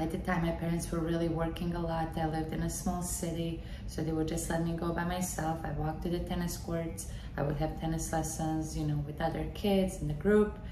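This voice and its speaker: A woman in her thirties from Bosnia and Herzegovina, a podcast host and tennis coach, telling her own story in an earnest, filler-dotted monologue. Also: low voice. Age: 30s. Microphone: large desk condenser mic in front. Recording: echoey room with steady background noise.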